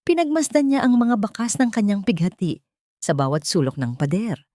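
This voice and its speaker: A woman's voice: medium pitch, very animated, normal speed, warm tone, no room sound, studio quality. A woman narrator reading a scripted, dramatic love story for an audio drama.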